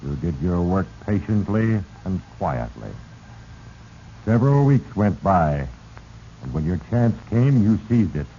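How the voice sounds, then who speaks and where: An actor playing sinister Fate, narrating a 1940s radio drama in a grave, portentous, accusing manner. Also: male voice, deep voice, sing-song, unhurried, mellow. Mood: grave, ominous. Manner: grave, portentous, accusing second-person address. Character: sinister, heavy-handed male Fate narrating men's doom. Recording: dull, noisy 1940s radio recording.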